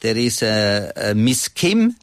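A man in his sixties with a Swiss accent, speaking conversationally as an interview guest in halting, non-native English. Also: lowish voice, sing-song, dry recording. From Switzerland. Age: 60s.

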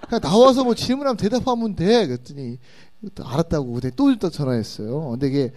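A man's voice: a little high, sing-song, a little fast. A middle-aged man co-hosting a live stage talk show, introducing guests and bantering.

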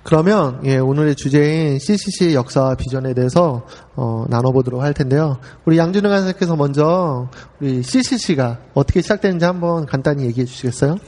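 A man in his forties hosting a relaxed talk show, chatty and full of easy fillers. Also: fairly high pitch, a little fast, few pauses, long reverb tail. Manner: chatty, frequent filler "ye", laughs easily. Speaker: host. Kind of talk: casual podcast talk.

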